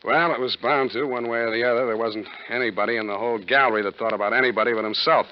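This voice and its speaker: An actor playing a wry, cynical private detective in a 1940s radio drama, speaking in a dry, clipped, sardonic way. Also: male voice, mid-range voice, steady tone, fast, slight background noise, lightly acted. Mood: bitter, resigned. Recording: dull 1940s radio recording with music.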